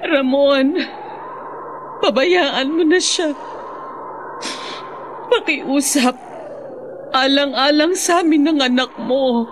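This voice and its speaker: High-voiced, distraught Filipino mother. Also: a little hiss or hum. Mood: tearful pleading. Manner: drawn-out, imploring. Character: distraught wife and mother, tearfully pleading. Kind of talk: Filipino radio drama acting.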